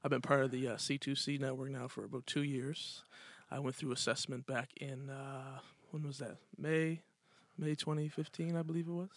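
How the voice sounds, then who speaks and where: A man's voice: mid-range voice, moderate pace. A man in his thirties from Nova Scotia, a pastor and podcast guest, telling his story in a friendly, easygoing way. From Nova Scotia, Canada. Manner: friendly, storytelling, lively when joking. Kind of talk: casual round-table chat.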